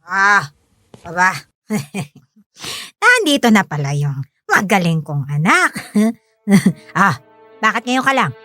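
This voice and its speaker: A woman's voice: mid-range voice, big pitch swings, takes it slowly, pauses often, bright, forceful tone, heavily acted. A woman acting a drunken, bitter middle-aged mother in a Filipino radio drama, scornful and hectoring, with a Filipino accent. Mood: drunken, sneering. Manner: slurring taunts, scornful, hectoring. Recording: music bed under the voice.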